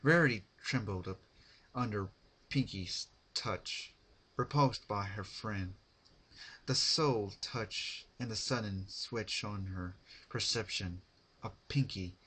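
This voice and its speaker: A man's voice: lowish voice, a bit bright, breathy edge. A man narrating a piece of fan fiction as a dramatic reading.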